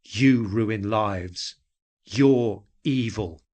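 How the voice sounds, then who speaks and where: A man's voice: on the low side, extremely slow, a little mellow. A man playing a bitter, obsessive man who mutters and fumes with hatred in an audio drama. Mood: seething hatred. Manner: muttering, fuming.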